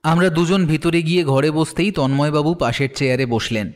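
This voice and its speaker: A man's voice: on the high side, brisk. A man narrating a detective story in the first person, in a scripted audio drama style. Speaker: narrator, telling it as the detective's companion. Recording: long reverb, faint music underneath.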